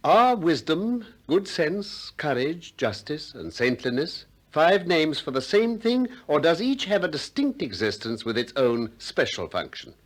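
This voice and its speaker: An actor with a British accent playing a shrewd, politely persistent ancient Greek philosopher in a 1960s radio play, with measured, probing delivery. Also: male voice, on the high side, big pitch swings. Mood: earnest, probing. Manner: probing, courteous, measured questioning.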